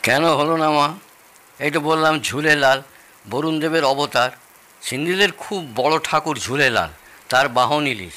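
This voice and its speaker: A man's voice: on the high side, a little slow, pushed and bright. An actor playing a jovial, proud older Bengali father-in-law in an audio drama, explaining things expansively and with relish. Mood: pleased, explaining.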